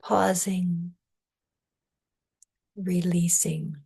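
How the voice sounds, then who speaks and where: A woman leading a guided meditation in a soft, breathy, often near-whispered voice, calm, measured and soothing. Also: lowish voice. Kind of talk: guided meditation, scripted narration.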